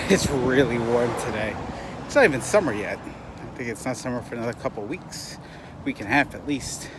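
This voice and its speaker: A man giving a casual, rambling, bluntly opinionated spoken movie review, as a vlogger talking while out and about. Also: mid-range voice. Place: outdoors on city streets, walking. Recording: clear outdoor street and traffic noise.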